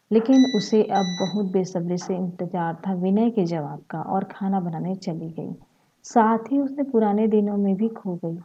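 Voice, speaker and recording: A woman with a slightly husky voice, narrating a short story. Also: mid-range voice, dark tone, dull audio.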